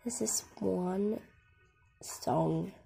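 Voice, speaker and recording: A young girl with a croaky voice from a cold, softly rambling through a tour of her game with lots of "like" and "um". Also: fairly low pitch, very slow, soft background music. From Australia. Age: child. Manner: soft, half-whispered, rambling with many "like" and "um". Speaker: gaming channel host. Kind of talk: casual game tour commentary. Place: at home, filming a laptop screen. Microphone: phone camera.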